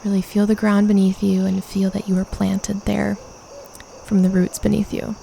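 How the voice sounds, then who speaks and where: Mellow, creaky-voiced woman guiding meditation. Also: medium pitch, slight background noise. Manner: calm, gentle instructing with unhurried pauses. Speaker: meditation guide. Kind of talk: guided meditation.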